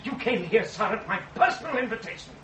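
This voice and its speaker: A man's voice: high-pitched, lively intonation, fast, pushed and bright, roomy sound, distant mic, a little hiss or hum. A man playing a pompous, indignant scholar in a period ghost story drama, speaking formally and with exasperation. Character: pompous, indignant male English academic scholar.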